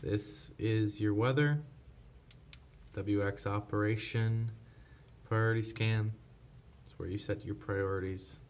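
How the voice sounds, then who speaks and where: Male tutorial presenter, fairly low voice, informal walk-through delivery, light noise behind. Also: steady tone, slow, long pauses. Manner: informal, unscripted step-by-step explaining. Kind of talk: casual hands-on tutorial narration. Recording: clear background noise.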